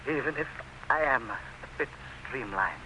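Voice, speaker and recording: An actor playing a resolute, proud planter in an old-time radio drama, narrating his own ordeal with intense, halting delivery. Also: medium pitch, expressive, natural voice. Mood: weak, wry. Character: resolute, proud male planter battling swarming ants in agony. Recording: noisy, muffled vintage radio recording.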